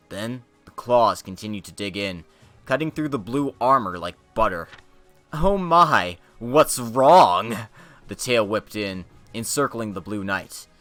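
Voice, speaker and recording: Bright-voiced man narrating a fantasy story. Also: fairly low pitch. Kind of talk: dramatic reading of a fantasy story.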